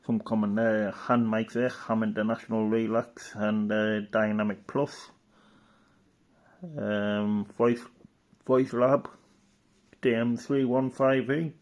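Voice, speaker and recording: A man's voice: on the low side, little pitch movement, takes it slowly, a little mellow. A man giving casual, rambling show-and-tell commentary as a hobbyist, matter-of-fact and fond of tag questions. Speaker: hobbyist presenter.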